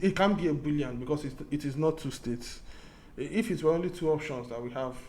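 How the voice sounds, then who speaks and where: A man's voice: on the high side, darkish tone, slight background noise. A man teaching a programming lesson, thinking aloud as he goes, often restarting and repeating his key points. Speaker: programming tutor. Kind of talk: casual screen-recorded coding lesson.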